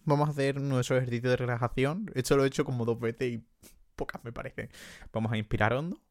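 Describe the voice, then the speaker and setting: A man's voice: mid-range voice, fairly even intonation, no room sound, studio quality. Chatty young Andalusian man. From Andalusia, Spain. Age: young adult. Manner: chatty, self-mocking, quick to laugh. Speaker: podcast host. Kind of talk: casual solo podcast chat. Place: his sister's bedroom.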